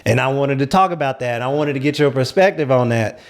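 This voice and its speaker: A man speaks as a talk show host in a relaxed panel conversation. He sets out points and probes with follow-up questions, in a medium-pitched voice. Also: a little fast. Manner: probing, asks follow-up questions.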